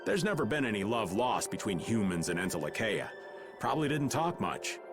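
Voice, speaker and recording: A man's voice: fairly low pitch, sing-song, fairly quick, over music. An actor playing a cool, cynical man in a video game, wry, matter-of-fact and understated. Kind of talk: scripted video game acting.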